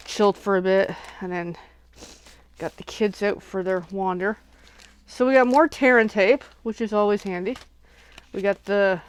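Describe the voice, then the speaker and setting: A sniffly woman hosting a casual crafting livestream, chatting and thinking aloud as she unboxes and works at home. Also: mid-range voice.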